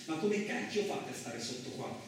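A man acting in a radio play as a gruff, crude truck driver who tells his story in blustering, rambling, colloquial speech. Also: medium pitch, big pitch swings, normal speed, lightly acted. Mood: grumbling. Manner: blustering, rambling, conversational, mildly swearing. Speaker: actor in a solo radio play. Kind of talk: colloquial theatrical radio monologue. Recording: roomy, echoing distant miking with faint noise.

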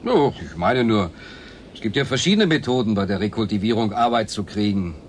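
Brief: talkative male colleague, played by an actor, lowish voice with swooping intonation, insinuating, defensive, radio drama. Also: lively intonation, a little fast, a little hiss or hum, close to an everyday voice. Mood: needling, defensive. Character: chatty, needling, defensive male station colleague. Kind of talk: German radio drama acting.

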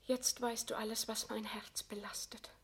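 Actress as a solemn, high-voiced condemned queen. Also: flat delivery, moderate pace, in a whisper, lightly acted. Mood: quiet firm dignity. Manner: solemn, declamatory, fervent. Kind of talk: classical German verse radio drama acting.

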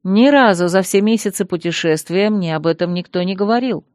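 A woman narrating a first-person fantasy novel in a measured, composed way. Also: medium pitch, a little mellow, no echo, clean studio sound. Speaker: audiobook narrator.

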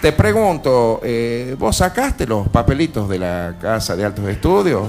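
A man's voice: mid-range voice, big pitch swings, unhurried, darkish tone. A man playing a folksy, foul-mouthed, exasperated Argentine provincial boss in a satirical radio sketch, grumbling and repeating himself colloquially. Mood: weary, bossy. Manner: grumbling, colloquial, repeats words and pet address.

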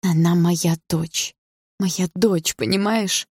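A woman's voice: fairly low pitch, fairly even intonation, slow pace, darkish tone, husky, dry recording, clean studio sound, heavily acted. A woman voicing a desperate, protective mother in an audiobook, emotional, pleading and defiant. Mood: tearful pleading. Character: desperate protective mother, long-suffering wife standing up. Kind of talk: audiobook character dialogue.

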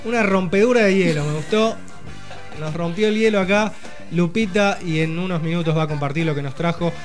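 A man hosting a radio program, chatting with co-hosts and reading regional news in a relaxed, conversational way. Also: high voice. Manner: relaxed, conversational, reads news items with asides. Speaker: radio host. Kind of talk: community radio news chat.

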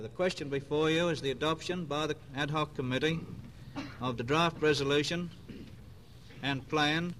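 Man, committee chairman, halting formal speech, faint hiss, 1947 archive recording. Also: mid-range voice, fairly bright tone. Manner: hesitant, halting delivery. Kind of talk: formal procedural announcement.

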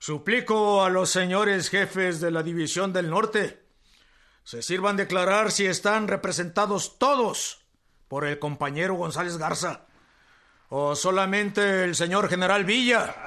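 An actor playing a revolutionary general who questions the delegates in a formal, pointed way in a radio drama. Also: male voice, high voice, measured pace, pushed and bright, exaggerated voice. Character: sharp, probing male revolutionary general. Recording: echoey hall with crowd murmur.

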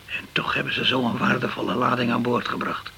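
Troubled captain, bright and creaky. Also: male voice, medium pitch, fairly even intonation, slight background noise. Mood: wary, probing. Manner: halting, stammering over words. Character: guilt-ridden hard-drinking male ship's captain, defensive and troubled. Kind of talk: Dutch radio crime drama acting.

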